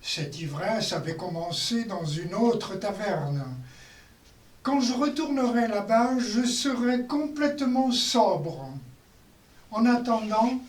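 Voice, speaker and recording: A performer reciting poetry in a deliberate, declamatory way with long pauses. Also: medium pitch, lively intonation. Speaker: performer reading poems in a show. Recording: roomy, distant mic, faint noise.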